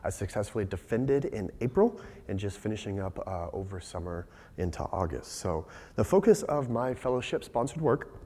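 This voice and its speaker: A young man gives a scientific talk, his voice hoarse and raspy as he has been losing it. He speaks in a measured way with frequent fillers. The sound carries the long reverb of a lecture hall. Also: mid-range voice, dark tone. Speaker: PhD candidate presenter.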